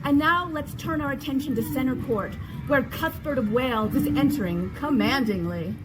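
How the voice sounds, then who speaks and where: A woman playing a dramatic, excitable sports commentator in a comedic stage play, grandiose and mock-solemn. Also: high voice, moderate pace, very noisy, close to an everyday voice. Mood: hushed suspense. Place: theatre stage at a festival.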